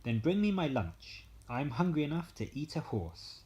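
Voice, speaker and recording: A man voicing a huge, hungry man-eating giant, booming and commanding, in a bedtime story. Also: sing-song, normal speed, darkish tone. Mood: hungry, demanding.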